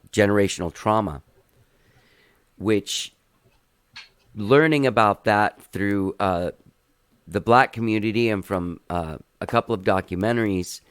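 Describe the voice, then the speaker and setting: A man's voice: lowish voice, smooth, no room sound, clean studio sound. A man hosting a podcast in casual chat, talking loosely with plenty of filler words. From Cuban family. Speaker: podcast host.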